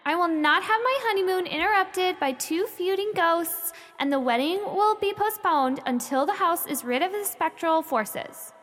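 A woman's voice: extremely high pitch, expressive, moderate pace, few pauses, a bit bright, long reverb tail. An actress playing a determined, curious young woman in a radio play, dramatic and emphatic. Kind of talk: theatrical radio play acting.

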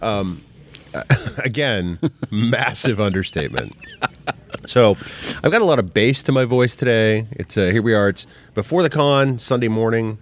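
A man's voice: lowish voice, lively intonation, measured pace, a little hiss or hum. A man hosting a podcast, teasing his co-host in dry, casual banter. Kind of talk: casual chat. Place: hotel room at a convention.

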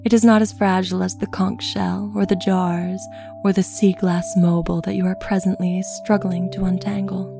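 A woman reading a literary first-person tale in a measured, lyrical, deliberate manner. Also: medium pitch, flat delivery, vocal fry. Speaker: story narrator. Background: music.

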